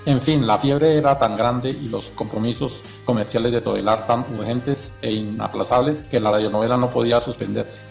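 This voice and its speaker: A man's voice: mid-range voice, fairly even intonation, moderate pace, vocal fry, hardly any pauses, darkish tone, faint music behind. A man reading scripted documentary narration.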